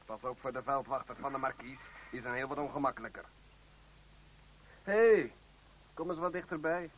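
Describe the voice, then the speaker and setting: An actor playing a gruff, bullying French army sergeant in a radio play, curt and scornful. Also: male voice, medium pitch, normal speed, natural voice. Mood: brusque. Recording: muffled, noisy old radio play recording.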